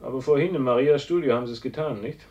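An actor playing a proud, ruthless industrialist with a commanding, defiant manner in a radio drama. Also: male voice, mid-range voice, fast talker, a little mellow, dull audio, lightly acted. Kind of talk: 1970s German radio drama acting.

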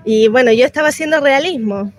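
A woman's voice: high voice, a little fast, soft background music. A Chilean woman, a theatre performer, talking casually and in a meandering way as a guest in a radio interview.

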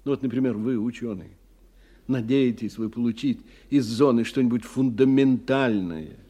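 An actor in a radio play voicing a worldly, forthright engineer who talks expressively, with wry and probing curiosity. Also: male voice, medium pitch, normal speed, mellow, close to an everyday voice. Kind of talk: 1990 Russian radio play dialogue.

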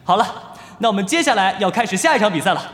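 A man playing a lively esports stage host in an audio drama, with a polished, enthusiastic announcer delivery. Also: sits high, racing. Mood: upbeat, announcing. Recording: long stage reverb, faint music behind.

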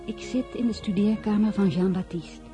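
A woman's voice: medium pitch, moderate pace, music in the background. A woman narrating a literary story in the first person, composed and scripted.

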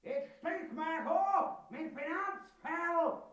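A man's voice: very high-pitched, takes it slowly, roomy sound, distant mic, very noisy. A man with an Austrian-Bavarian accent playing a blustering, impatient, menacing tax collector in a grotesque radio play. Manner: blustering, cursing by comic saints.

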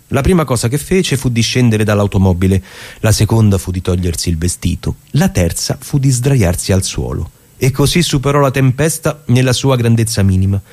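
A man's voice: lowish voice, darkish tone. A man acting a stage performer, in a theatrical monologue that moves between casual talk and literary reading. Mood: measured, declamatory. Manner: halting and repetitive on the phone, measured when reading. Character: chatty stage actor on a phone, rehearsing alone.

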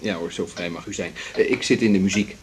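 A man playing a dreamy, easygoing musician in a radio drama, musing in halting, repeated phrases. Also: low voice, slight background noise, close to an everyday voice. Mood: polite. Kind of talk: 1980s Dutch radio drama acting.

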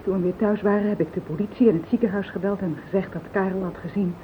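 An actress playing an anxious, self-justifying accomplice, pleading and defensive, in a Dutch radio play. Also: female voice, steady tone, quick pace, close to an everyday voice. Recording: muffled phone-like old radio recording, faint rumble.

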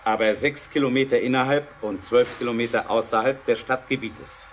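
A man acting a determined, practical inventor in a German radio play, measured, persuasive and drily ironic. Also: medium pitch, fairly even intonation, slight background noise, natural voice. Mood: dry, ironic.

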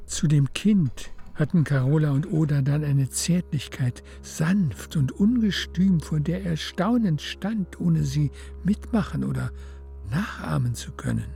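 An actor as the calm narrator of a literary radio play, hushed and measured in his telling. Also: a little high, creaky, faint music behind. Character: calm literary storyteller of a love story.

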